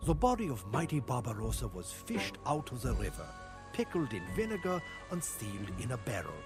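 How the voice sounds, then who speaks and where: A mid-pitched man as a solemn game storyteller, with hugely varied intonation. His scripted narration is grave and theatrical, set over clearly audible music. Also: huge pitch swings, fairly quick. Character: solemn male storyteller recounting medieval campaign history.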